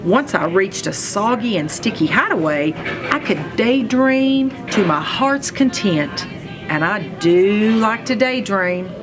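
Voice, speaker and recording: Female storybook reader for young children: playful, very lively intonation, slow delivery. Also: mid-range voice, music in the background. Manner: expressive, playful, acts out sounds and exclamations. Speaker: read-aloud reader, school superintendent. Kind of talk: animated children's storybook read-aloud.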